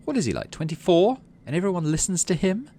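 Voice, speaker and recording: Man putting on a haughty teenage girl, higher pitched. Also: big pitch swings, quick pace, mellow, slight background noise. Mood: scornful. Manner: sneering, haughty. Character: scornful, self-important teenage girl.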